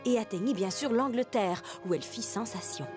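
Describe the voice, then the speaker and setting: A woman's voice: medium pitch, brisk. A woman narrating a scripted children's cartoon story in French as its storyteller. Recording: loud music under the voice.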